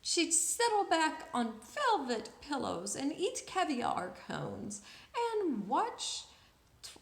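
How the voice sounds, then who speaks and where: A woman's voice: sits high, wildly swooping pitch, takes it slowly, vocal fry. A woman reading a picture book aloud to children expressively, drawing out words and putting questions to her listeners. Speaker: storyteller, children's read-aloud host. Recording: echoey room.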